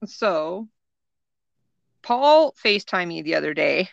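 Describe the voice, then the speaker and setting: Unhurried, lively-voiced middle-aged woman. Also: lowish voice, creaky, dry recording. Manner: unhurried, laughs often. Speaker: podcast co-host. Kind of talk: casual chat. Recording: dull lo-fi dry recording.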